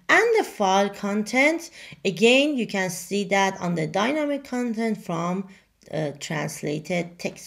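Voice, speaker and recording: Gravelly woman, native Farsi speaker. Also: mid-range voice, a bit bright. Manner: halting, many fillers and restarts, non-native grammar. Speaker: software tutorial presenter. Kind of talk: screen-recorded software walkthrough.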